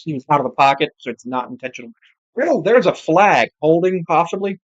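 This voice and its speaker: An amateur commentator giving casual live football play-by-play, with muttered asides and self-corrections, sex not clear from the voice. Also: medium pitch, expressive, a little fast, lo-fi sound.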